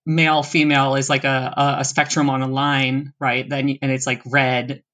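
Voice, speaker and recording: A young adult from the American East Coast, with a voice sitting right in the middle of the pitch range. They talk in a loose, meandering way during a relaxed interview. They restart sentences often and laugh easily as they go. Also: normal speed. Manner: thoughtful, rambling, with frequent laughs and restarts. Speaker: interview guest. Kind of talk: casual interview conversation.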